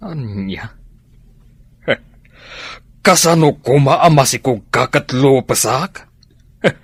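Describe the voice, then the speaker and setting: A man's voice: mid-range voice, expressive, slow, slightly rough, no echo, studio quality, full character voice. A man playing a domineering middle-aged sugar daddy in a radio drama, callous, scornful and emphatic. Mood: scornful, angry.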